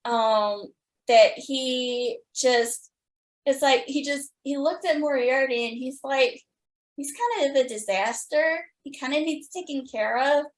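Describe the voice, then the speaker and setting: A woman hosting a podcast, talking as an enthusiastic fan in a gushing, halting way. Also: high-pitched. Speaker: podcast host interviewing guests.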